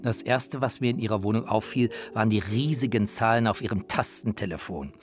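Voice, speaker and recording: A man's voice: fairly low pitch, fairly quick. A man presenting a documentary in scripted, first-person narration.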